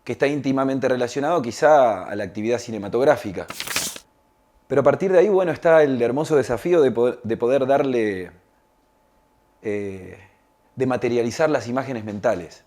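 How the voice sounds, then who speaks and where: Mellow, hesitant man. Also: medium pitch, a little fast. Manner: hesitant, searching for words, tag questions. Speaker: radio drama maker being interviewed. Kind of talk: reflective explanatory talk.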